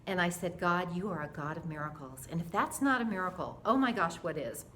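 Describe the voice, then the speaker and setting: A mature woman telling a personal faith story in an earnest, dramatic, animated way, acting out the dialogue as she goes. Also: lowish voice, a little fast, a little hiss or hum.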